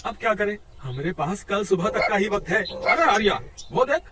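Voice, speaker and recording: Man acting high-pitched, panicky bumbling village thief. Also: sing-song, normal speed, background noise. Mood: scheming. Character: panicky, scheming, bumbling village dog thieves. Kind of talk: comic Hindi cartoon dubbing.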